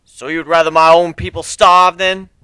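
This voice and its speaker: Man voicing a proud, forceful growling panther chieftain. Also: on the high side, level tone, normal speed, clean tone, no room sound, voice pushed up. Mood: suspicious. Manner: formal, terse, growling.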